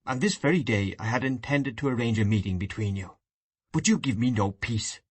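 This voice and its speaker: A man's voice: medium pitch, expressive, brisk, slightly rough, no room sound. A man reading a novel aloud, voicing an earnest, idealistic grown son who argues with his mother. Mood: grave. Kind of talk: audiobook dialogue reading.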